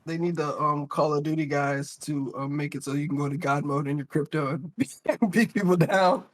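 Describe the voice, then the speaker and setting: Male panelist, slightly high pitch, informal conversational delivery. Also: fairly even intonation, moderate pace. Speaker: podcast panelist. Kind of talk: casual group discussion.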